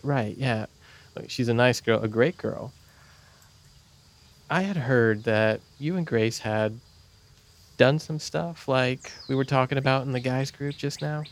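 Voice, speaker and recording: Male actor as young camp counsellor, average male pitch, earnest, reassuring, halting, naturalistic audio drama. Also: lightly acted. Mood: probing, awkward. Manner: hesitant, reassuring.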